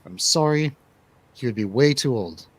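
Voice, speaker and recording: A man's voice: mid-range voice, expressive, moderate pace, breathy edge, dry recording. Guy hosting a movie chat show, opinionated, chatty, dry close recording. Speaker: radio show host. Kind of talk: casual movie review chat.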